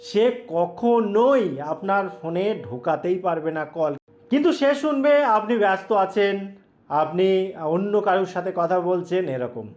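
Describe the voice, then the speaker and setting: A man's voice: sits high, animated, unhurried, darkish tone, muffled recording. A man presenting a casual how-to tutorial, explaining emphatically and putting questions to the listener. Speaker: tech tutorial host. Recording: echoey room.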